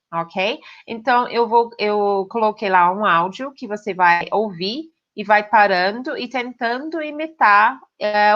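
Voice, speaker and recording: A woman's voice: mid-range voice, creaky, fairly bright tone. Lady teaching pronunciation online, keeps asking "ok?", kinda muffled audio. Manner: direct, addressing students, frequent "ok?" checks. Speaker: English teacher. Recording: slightly dull lo-fi recording.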